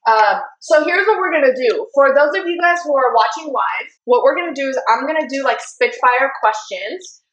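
Here is a chatty woman hosting a live web talk show. Her voice sits a little high, she giggles easily and wanders between thoughts, and the room around her is echoey. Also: lively intonation, moderate pace, a bit bright, highs cut off. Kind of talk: casual live-stream chat.

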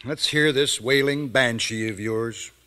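A middle-aged man playing a genial, gently teasing father in an old radio drama, speaking in a paternal, unhurried way. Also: medium pitch, close to an everyday voice. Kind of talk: 1940s radio drama acting.

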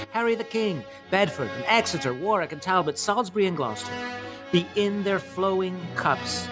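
A man performing a stirring medieval king rallying his outnumbered soldiers in a declamatory, amateur theatrical soliloquy with weighty pauses. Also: on the high side, voice pushed up, lightly acted.

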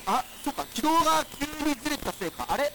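A man's voice: very high voice, very animated, fairly quick, creaky, background noise, full character voice. A man playing a geeky, rambling radio host facing doomsday in a radio drama, talking hesitantly and digressively. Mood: anxious, calling out. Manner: hesitant, digressive, self-interrupting. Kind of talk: radio drama monologue, conversational.

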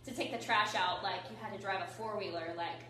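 Bright upbeat host in an echoey room. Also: female voice, medium pitch, steady tone. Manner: upbeat, fast, says "like" a lot. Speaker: host, yoga teacher. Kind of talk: podcast interview hosting. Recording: very echoey room, far from mic.

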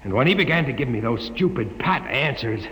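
A man's voice: medium pitch, animated, fairly quick, fairly bright tone, breathy edge. An actor playing an impetuous, defiant young man, bold and intense, in old-time radio drama style. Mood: tense, weary. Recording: noisy old radio recording, echoing hall.

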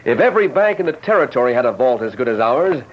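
An actor playing a brisk, self-satisfied small-town banker in an old-time radio western. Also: male voice, medium pitch, big pitch swings, natural voice. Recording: muffled, hissy old radio recording.

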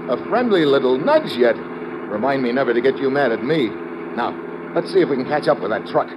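A man's voice: mid-range voice, very animated, a little fast, lo-fi sound, close to an everyday voice. An actor playing a tough, wisecracking American man on an assignment, in old-time radio adventure style with slangy delivery. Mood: alarmed. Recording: noisy vintage radio recording.

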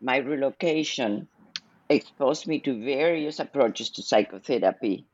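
An older woman with an Argentine Spanish accent, answering interview questions as a guest in a measured, reflective way. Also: a bass voice, lively intonation, darkish tone, slightly rough, no room sound, highs cut off. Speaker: guest author, psychologist.

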